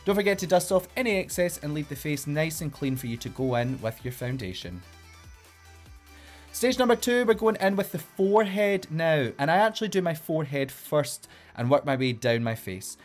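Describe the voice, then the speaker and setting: A drag queen with a Glaswegian Scottish accent walks the listener through a makeup routine, his voice sitting somewhat high for a man. He is playful and upbeat while giving clear step-by-step directions. Soft music plays quietly behind him. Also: very animated, normal speed. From Glasgow, Scotland. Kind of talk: makeup tutorial.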